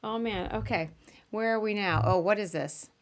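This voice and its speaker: A woman's voice: medium pitch, moderate pace. A woman hosting a casual podcast interview in an informal, chatty way. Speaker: podcast host.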